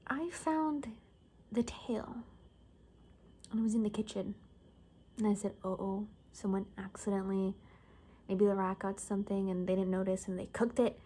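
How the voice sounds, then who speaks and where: A woman playing a gossipy apocalypse survivor in a soft-spoken ASMR roleplay, casual and halting, often slipping into a whisper. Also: medium pitch, fairly even intonation, slightly rough, natural voice. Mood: gossipy, confiding. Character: gossipy young woman, zombie apocalypse survivor cutting hair.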